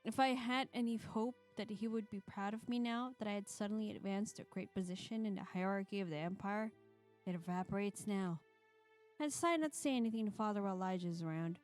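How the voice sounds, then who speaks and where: A woman's voice: medium pitch, fast talker, darkish tone, faint music behind. A woman streamer reading a visual novel aloud, with playful comments and frequent laughs. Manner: playful, laughs between narrated lines.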